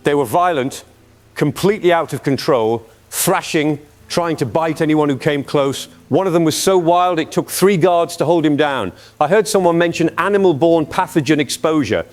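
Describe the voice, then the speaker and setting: A man's voice: a little high, close to an everyday voice. A man playing a frightened lab technician in a radio drama, giving an agitated eyewitness account. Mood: shaken, alarmed. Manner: agitated, halting disbelief.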